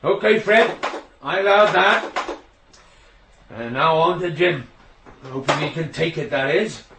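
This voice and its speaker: An actor playing a proud, touchy middle-aged signalman father in a radio drama, gruff and lecturing. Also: on the high side, slow pace, background noise. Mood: brisk, businesslike. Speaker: railway signalman in a radio play. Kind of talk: naturalistic radio drama acting.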